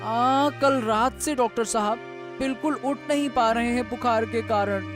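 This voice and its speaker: A woman's voice: on the high side, measured pace, music in the background, lightly acted. A woman voicing a polite, caring young village boy in a cartoon story. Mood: polite, earnest. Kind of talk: Hindi cartoon story dialogue.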